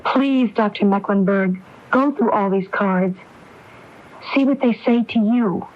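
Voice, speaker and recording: A woman's voice: medium pitch, unhurried, natural voice. Woman acting insistent anguished widow in an 80s radio mystery, probing questions, muffled lo-fi broadcast sound. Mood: pleading, weary. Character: determined, anguished widow probing her husband's murder. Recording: dull lo-fi AM radio broadcast recording.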